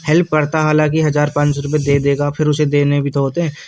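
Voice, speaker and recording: Mellow, offhand young husband played by a man. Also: on the high side, monotone, quick pace, a little hiss or hum, lightly acted. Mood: placating. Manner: colloquial, offhand, placating. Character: defensive young jobless husband, placating and shrugging off complaints. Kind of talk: improvised-sounding domestic dialogue in an audio story.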